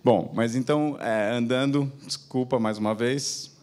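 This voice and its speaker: A man's voice: mid-range voice, steady tone, measured pace, a little mellow. A man in his forties with a Brazilian Portuguese accent, a TV channel executive speaking to an audience on an auditorium stage with a handheld mic, chatty and peppering his talk with tag questions.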